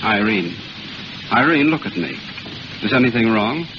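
An actor playing an earnest, protective young suitor in a 1930s radio melodrama, speaking with urgency. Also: male voice, lowish voice, lively intonation, measured pace. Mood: concerned. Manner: urgent, theatrical. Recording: hissy, dull old 1930s radio recording.

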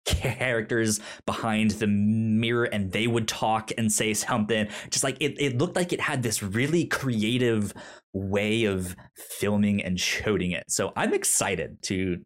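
A man's voice: fairly low pitch, fairly even intonation, unhurried. Stuttering man on a casual podcast. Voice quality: stutters, repeating syllables and words. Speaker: podcast co-host. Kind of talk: casual review podcast chat.

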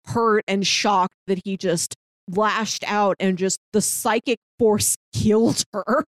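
A woman's voice: mid-range voice, steady tone, slow pace, dry recording. A woman co-hosting a casual film discussion, chatty and often laughing as she talks. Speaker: podcast co-host.

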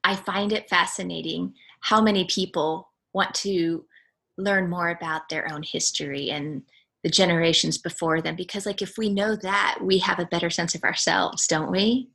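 Gravelly-voiced woman hosting an interview. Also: fairly low pitch, steady tone, moderate pace, pushed and bright. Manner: encouraging, frequent affirming "mm-hmm". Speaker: podcast host.

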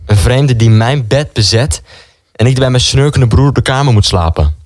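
An actor playing an excitable, defiant young brother in a wartime radio drama, pushy and quick to complain. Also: male voice, mid-range voice, dry recording, clean studio sound, close to an everyday voice. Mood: indignant. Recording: music under the voice.

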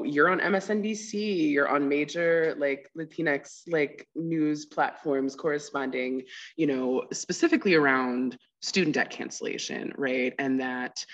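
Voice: mid-range voice, a bit bright, muffled recording. A podcast host interviewing a guest in a casual, effusive way, with many fillers. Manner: chatty, effusive, heavy use of "like" and "um".

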